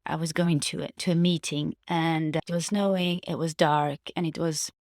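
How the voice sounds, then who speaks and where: A woman with a low voice shares personal reflections in a casual monologue. Her delivery has plenty of vocal fry, and she often pauses to search for words or restate a phrase. The sound is dry and close, with no room echo. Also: fairly even intonation, a little mellow. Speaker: vlogger sharing personal reflections.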